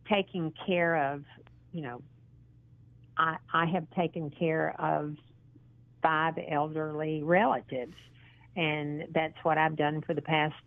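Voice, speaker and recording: A woman in her sixties being interviewed as a guest, speaking in an earnest, encouraging way and often pausing with ums and restarts. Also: deep voice, creaky, dry recording. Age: 60s. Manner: halting, with frequent ums and restarts. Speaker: interview guest, model and actress. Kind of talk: casual phone interview. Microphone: phone. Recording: tinny phone line.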